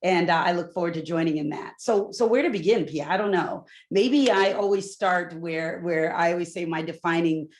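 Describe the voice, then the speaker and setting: Candid middle-aged woman, loud storyteller. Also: fairly low pitch, normal speed, few pauses, slight background noise. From Newport, Rhode Island. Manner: candid, animated storytelling with asides to the audience. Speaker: panelist, corporate executive. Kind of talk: panel talk, personal story.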